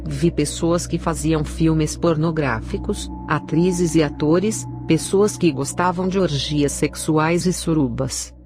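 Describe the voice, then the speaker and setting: A woman's voice: low voice, slow, hardly any pauses. A woman with a Brazilian accent narrating a scripted, dramatic religious testimony. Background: prominent music.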